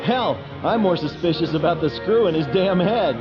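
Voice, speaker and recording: High-pitched wisecracking fighter pilot. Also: male voice, expressive, moderate pace. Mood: sarcastic. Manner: sarcastic, slangy. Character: cocky, wisecracking male fighter pilot, irreverent. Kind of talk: game cutscene voice acting. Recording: dull sound under clear music.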